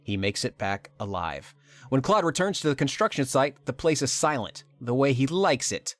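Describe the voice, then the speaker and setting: A man co-hosting a podcast, reading a scripted recap of a game story with wry humor and dramatic pauses. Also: mid-range voice, very animated, dry recording. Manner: wry, dramatic pauses, laughs at own lines. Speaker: podcast co-host. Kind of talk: scripted narration of a game plot.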